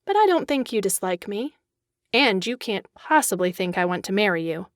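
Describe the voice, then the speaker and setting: An actress playing an elegant young socialite in a dramatized audiobook, refined, expressive and coquettish, discontented yet charming. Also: female voice, medium pitch, moderate pace, dry recording, studio quality, natural voice. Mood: frank, coaxing.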